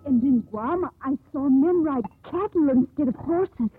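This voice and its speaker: An actress playing a spirited, proud young sea captain's daughter in a theatrical 1950s radio drama style. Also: female voice, high voice, animated, unhurried, over loud music. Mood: chatty, excited. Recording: old radio broadcast, narrow band, noisy.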